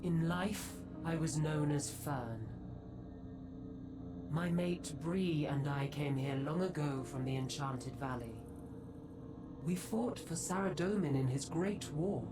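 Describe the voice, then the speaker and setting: A woman playing an ancient, stern and remorseful centaur guardian in a fantasy game, speaking gravely and formally. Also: low-pitched. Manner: grave, slow, formal delivery. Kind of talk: dramatic fantasy game voice acting.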